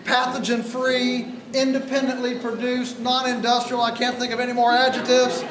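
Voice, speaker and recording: A man acting as emcee, introducing the next speaker in a halting way as he searches for words. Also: very high voice, monotone, fairly bright tone, slight background noise. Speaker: event emcee. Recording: distant echoey recording with crowd.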